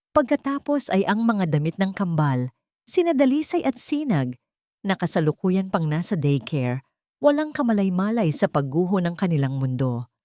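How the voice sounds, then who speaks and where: Low-pitched Filipino woman narrating a story. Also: dark tone, dry recording, clean studio sound. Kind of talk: scripted dramatic story narration.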